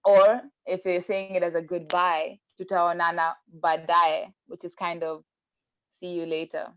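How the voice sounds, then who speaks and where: A woman's voice: on the low side, little pitch movement, measured pace, smooth, no room sound. A woman in a casual group chat, articulate and reflective, hedging her explanations. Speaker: panel guest. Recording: dull dry sound.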